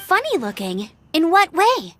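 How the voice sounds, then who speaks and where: Plucky young scout girl in a video game, really high lively voice, eager and sure of herself. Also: a little slow, full character voice. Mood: inquisitive. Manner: eager, determined. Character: spirited, confident young female knightly scout.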